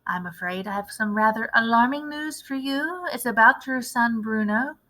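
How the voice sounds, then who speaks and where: A woman voicing a determined elderly grandmother in a storybook, tactful and measured but firm. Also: on the high side, bright, forceful tone. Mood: polite, grave. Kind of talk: storybook read-aloud character voice.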